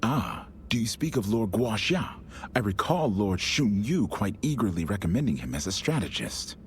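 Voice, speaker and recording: An actor playing a loyal, calculating strategist in a video game, with a formal, thoughtful delivery. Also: male voice, lowish voice, big pitch swings, moderate pace, slight background noise. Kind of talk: dramatic video game cutscene acting.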